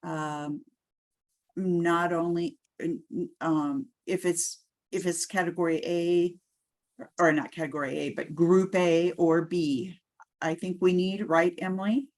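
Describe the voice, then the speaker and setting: Slow, low-voiced woman chairing a meeting. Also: fairly even intonation, darkish tone. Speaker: host of conference call. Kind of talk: informal meeting moderation.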